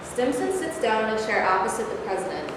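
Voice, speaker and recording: A woman's voice: fairly high pitch, very animated, brisk. A woman reading scripted stage directions at a staged play reading. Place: large room at a live staged reading. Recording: very echoey hall, far off, noisy.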